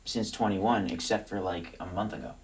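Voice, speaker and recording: A man in his late twenties with a fairly low voice, sitting a bit back from the microphone. He is chatty and laughs easily, interjecting freely in casual banter. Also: fairly even intonation, moderate pace, recorded from a distance. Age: late 20s.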